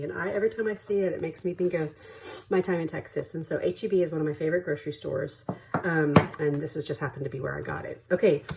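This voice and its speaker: A woman's voice: lowish voice, a little mellow. A woman hosting her own budgeting vlog, stuffy from allergies, chatting casually and counting money aloud. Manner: friendly, apologetic, laughs easily, counts money aloud. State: congested with allergies, sniffling and coughing. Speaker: budgeting channel host.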